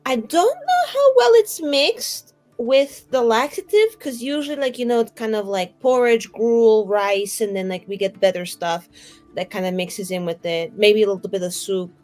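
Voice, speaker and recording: Woman as an eager, high-voiced naive young accomplice. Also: moderate pace, darkish tone, soft background music, lightly acted. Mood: earnest, rambling. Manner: chatty, hesitant, trailing into "like, you know". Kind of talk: improvised in-character tabletop roleplay.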